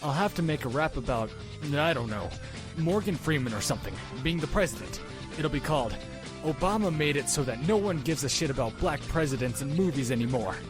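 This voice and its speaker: An actor playing a cool, cocky teenage amateur rapper with a laid-back, slangy manner in a web audio drama. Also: male voice, a little high, lively intonation, a little fast, music in the background, natural voice. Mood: musing.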